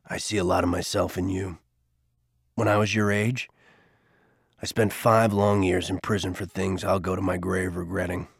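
A man voicing a quiet, regretful older mentor, speaking low and subdued. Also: level tone, normal speed, gravelly, slightly rough, no echo, studio quality, full character voice.

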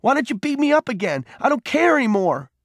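A man voicing a furious, wounded boy in an audiobook story, bitter and taunting, shouting his accusations. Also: extremely high pitch, big pitch swings, fast, vocal fry, no room sound, studio quality, pitched above the performer's own voice, full character voice.

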